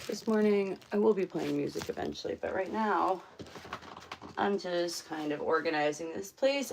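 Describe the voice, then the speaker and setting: A woman's voice: fairly low pitch, creaky, a little hiss or hum. A woman casually chatting on a live stream from her home, rambling with many fillers. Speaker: livestream host. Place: home room.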